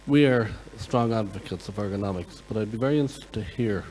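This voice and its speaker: A man from Ireland asking a question from the floor at a conference, in measured, deliberate phrasing. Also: fairly low pitch, a little mellow, big-room sound, slight background noise, low-quality recording. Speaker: audience questioner.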